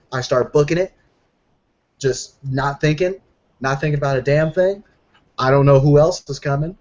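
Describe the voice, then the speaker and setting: A man's voice: mid-range voice, muffled recording, close to an everyday voice. A man playing a brash werewolf telling a battle story in a casual, profane, rambling and boastful way. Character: brash young male werewolf storyteller bragging about a fight. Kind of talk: in-character tabletop roleplay storytelling.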